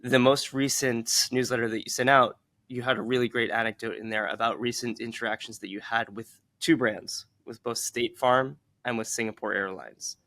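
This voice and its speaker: Male interview host, bright forward voice, dry close sound, conversational podcast delivery. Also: mid-range voice, fairly even intonation, moderate pace, no room sound.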